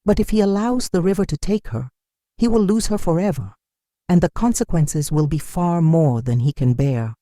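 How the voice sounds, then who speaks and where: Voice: medium pitch, expressive, normal speed, warm tone, no echo, studio quality. A narrator telling a dramatic folktale in a solemn, scripted storytelling style.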